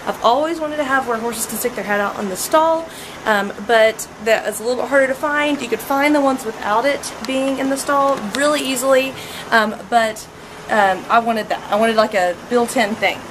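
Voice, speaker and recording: A woman's voice: a little high, normal speed. A woman in her thirties giving a casual, chatty walkthrough of her barn's horse stalls, full of ums, recorded on the handheld camera she is carrying. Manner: chatty, frequent ums, filming as she walks. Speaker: barn owner reviewing horse stalls. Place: indoor horse barn aisle. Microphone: handheld camera mic. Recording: noisy handheld camera audio.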